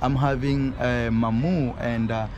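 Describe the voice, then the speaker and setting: A man's voice: medium pitch, steady tone, measured pace, darkish tone, lo-fi sound. A young Kenyan man, native in Swahili, chatting casually and animatedly as a travel vlogger interviewing a guest outdoors. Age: young adult. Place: open rooftop terrace, outdoors. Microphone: camera at arm's length. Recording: outdoor urban background noise.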